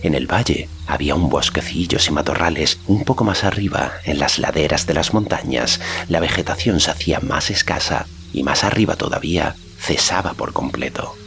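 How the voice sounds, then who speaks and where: A man narrating a fantasy audiobook in a measured storytelling manner. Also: a bass voice, gravelly, fairly bright tone, a little hiss or hum. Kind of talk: scripted narration of a fantasy novel.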